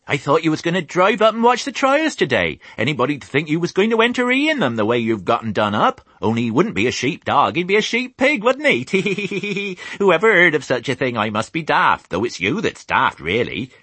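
A man voicing a bossy, talkative farm wife, loud and rapid. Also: on the high side, husky, dry recording, voice pushed up, exaggerated voice. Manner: loud, rapid, scolding chatter.

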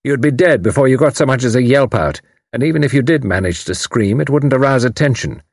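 A man's voice: medium pitch, sing-song, normal speed, vocal fry, no room sound, studio quality, pitched above the performer's own voice. A man voicing a suave, mocking enemy commander who turns grimly threatening. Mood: mocking, smooth. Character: mocking menacing male enemy spy commander.

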